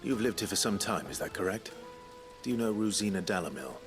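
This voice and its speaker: A resolute outlaw hero of a fantasy game, played by a male actor. His voice is low, courteous and measured, with terse firmness. Music plays clearly under his words. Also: animated, close to an everyday voice. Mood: polite. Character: calm, resolute outlawed traveler, courteous but firm. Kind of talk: video game cutscene acting.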